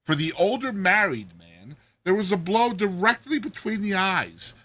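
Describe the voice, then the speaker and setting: A man performs dramatized audiobook narration in a bright, forceful voice with a rough, husky edge. His pitch swings widely as he leans into the storytelling with theatrical emphasis. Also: lively intonation, a little slow.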